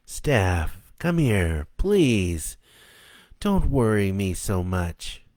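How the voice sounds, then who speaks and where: A man's voice: lowish voice, big pitch swings, very slow, a little mellow, dry recording, clean studio sound, heavily acted. A man voicing a monstrous shape-shifting mother creature who coaxes sweetly and then shrieks threats. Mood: coaxing.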